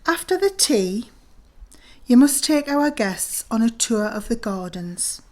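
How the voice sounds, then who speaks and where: Actress as middle-aged lady of the house, high-pitched, dignified, gracious, bereaved, period radio drama. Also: very animated, takes it slowly, darkish tone. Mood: gracious, solemn. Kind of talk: audio drama acting.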